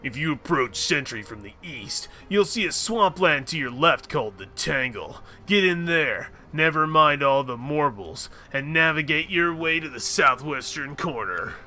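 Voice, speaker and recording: A man voicing a gruff male rogue who holds a grudge, reading game dialogue aloud in a lower, drawling voice. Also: lively intonation, unhurried, hardly any pauses, sharp tone, faint music behind, full character voice.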